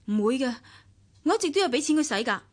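An actress with a Hong Kong Cantonese accent playing a resentful, impulsive, easily hurt wife in a radio drama. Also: female voice, high voice, lively intonation, quick pace. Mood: cold, guarded.

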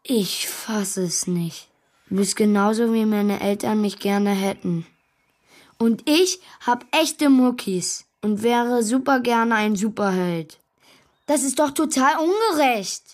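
Cheeky, keen young boy hero-in-waiting, raised pitch, taking his time, children's radio drama. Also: slow. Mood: excited, wistful. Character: eager cheeky young boy posing as superhero's son. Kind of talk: children's radio play acting.

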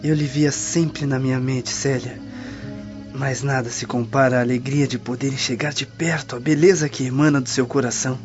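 A man's voice: mid-range voice, fairly even intonation, normal speed, faint music behind, close to an everyday voice. A man playing an earnest, poetic young man in love in a radio drama. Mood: adoring. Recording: dull lo-fi audio.

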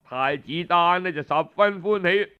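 A Cantonese man tells an old historical story in the traditional spoken style. His voice sits high for a man. He takes his time, phrasing it conversationally as if talking straight to listeners. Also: measured pace, clean tone, phone-quality sound. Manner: conversational oral telling with frequent sentence-ending particles.

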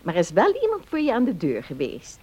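Chatty lady of the house, husky, hugely varied pitch, slightly forgetful, radio drama. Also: quick pace, muffled recording, heavily acted. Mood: chatty, welcoming. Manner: chatty, animated. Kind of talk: Dutch radio play acting.

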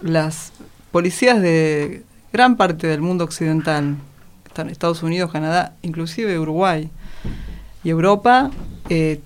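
A woman with a Rioplatense Spanish accent explaining as an expert radio guest, deliberate, often checking with short tag questions. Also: deep voice. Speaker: interview guest, academic expert. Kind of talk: explanatory radio interview talk.

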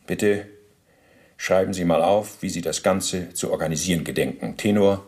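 A man's voice: deep voice, moderate pace, a little mellow. An actor playing a self-justifying former SS officer under interrogation in a radio play, speaking formal, pedantic officialese with deference to his questioner. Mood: self-justifying, precise. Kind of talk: radio play, testimony monologue.

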